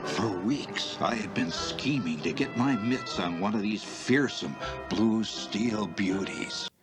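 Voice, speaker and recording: A man's voice: on the low side, lively intonation, slow. An actor playing a grown man wryly recalling boyhood longing, narrating a nostalgic comic film in a grandiose, savoring way. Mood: mock-epic longing. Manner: theatrical, mock-epic, savoring phrases. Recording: film soundtrack with loud music behind. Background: loud film music.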